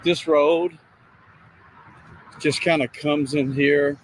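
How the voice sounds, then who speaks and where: Halting man narrating outdoors over noise. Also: on the high side, fairly even intonation. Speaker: on-the-scene video streamer. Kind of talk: casual on-location narration. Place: outdoors by a road and woods. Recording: outdoor noise, distant freeway. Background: freeway and ambient outdoor noise.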